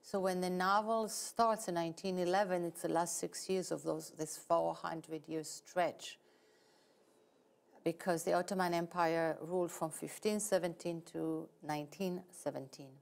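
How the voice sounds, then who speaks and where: A woman with an Israeli accent speaks in a measured, explanatory way, setting out facts with care. Her voice sits somewhat low for a woman. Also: steady tone, vocal fry. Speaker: guest novelist. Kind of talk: interview answers.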